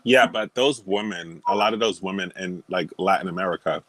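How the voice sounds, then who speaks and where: A man's voice: low-pitched, a little fast, fairly bright tone, slight background noise, low-quality recording. A man talking as a guest on a video call, stating firm opinions with many fillers and laughing at himself. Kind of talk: casual opinionated chat.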